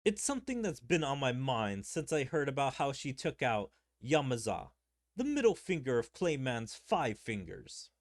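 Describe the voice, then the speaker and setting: A man's voice: mid-range voice, sing-song, moderate pace. A man streaming a game, reading its dialogue aloud in a theatrical way and adding his own commentary. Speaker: gaming streamer.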